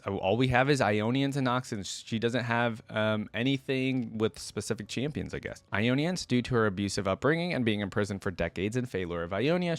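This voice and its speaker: A man's voice: medium pitch, dry recording. A man hosting a reaction video, chatting informally to viewers and reading lore aloud. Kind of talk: casual commentary mixed with reading lore aloud.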